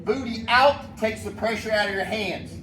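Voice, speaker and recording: A man's voice: high voice, moderate pace. A man teaching a firearms class at an indoor range, upbeat and playful as he calls out commands. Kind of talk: loud instructions to a class. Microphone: amplified voice. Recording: echoey, distant, noisy range.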